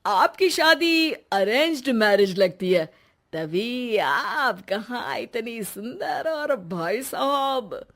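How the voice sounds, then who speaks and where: A woman's voice: a little high, animated, drawn-out words, a bit bright, full character voice. Woman playing a flustered, flirty husband. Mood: flirtatious. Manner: stammering, fawning, hesitant. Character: flustered, flirtatious middle-class husband, a fawning man. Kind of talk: Hindi cartoon comedy acting.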